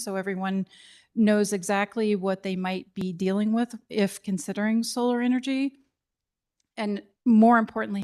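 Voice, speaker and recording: A woman project manager with an American Midwest accent and a low speaking voice, addressing residents at a public meeting in a welcoming, apologetic manner. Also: darkish tone.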